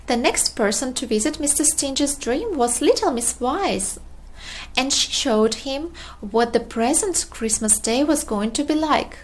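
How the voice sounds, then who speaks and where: A woman reading a children's storybook aloud in an expressive, deliberate storytelling manner. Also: high-pitched. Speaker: storybook narrator.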